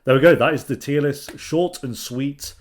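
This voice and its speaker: A man's voice: medium pitch, normal speed. A young man hosting a reaction video, chatting casually and laughing easily. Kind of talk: casual commentary.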